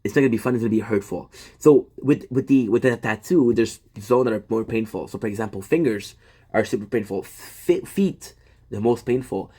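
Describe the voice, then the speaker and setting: A man's voice: fairly low pitch, brisk, dark tone, husky. Guy livestreaming from home, rambles, restarts a lot, talks to chat. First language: French. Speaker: livestream host.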